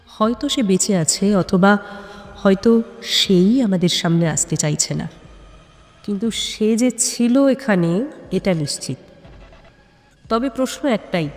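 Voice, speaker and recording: Woman narrator playing brooding female sleuth for an audio mystery, intense, deliberate, questioning, heavy reverb. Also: medium pitch, a little mellow. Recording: long cavernous reverb on the voice.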